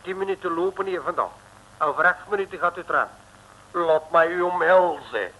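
A man playing an effusive, excitable foreign official in an old radio comedy serial, speaking in a florid, gushing way. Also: on the high side, normal speed. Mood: grandiose. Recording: noisy old radio recording.

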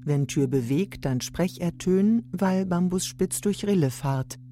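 A woman playing a quirky museum audio guide that instructs in deadpan, clipped broken grammar in an experimental radio drama. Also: lowish voice, measured pace, natural voice.

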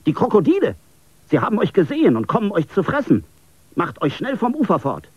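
An alarmed soldier barks an urgent warning in a raised, husky voice, as a male narrator puts him on. His delivery is fast and tense. The recording is dull and lo-fi. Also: high-pitched, wildly swooping pitch, no room sound, pitched above the performer's own voice, heavily acted. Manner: urgent, shouting.

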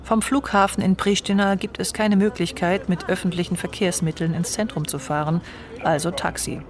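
A woman narrating a radio documentary in a calm, measured, scripted way. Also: lowish voice, crowd noise.

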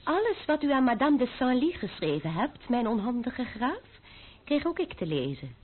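Arch young noblewoman, high-pitched, teasing, confident, vintage radio drama, narrow muffled sound. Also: sing-song, normal speed, breathy edge. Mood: teasing, defiant. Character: spirited, teasing young French noblewoman, self-assured schemer. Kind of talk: old-fashioned Dutch radio drama acting. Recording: muffled old radio play recording.

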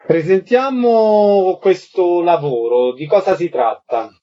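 A man's voice: high-pitched, slow pace, dull audio. A man hosting a live radio programme and interviewing guests.

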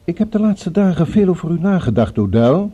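An actor in a radio drama playing a courteous, cultured villain with a politely menacing, formal and musing manner. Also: male voice, a little high, sing-song, a little fast, warm tone, husky, highs cut off. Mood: urbane, probing.

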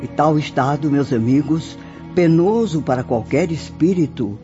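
A man's voice: fairly high pitch, lively intonation, measured pace. An actor playing a benevolent, fatherly male spirit mentor in a radio drama, with solemn, didactic and theatrical delivery. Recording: dull lo-fi radio drama, music behind.

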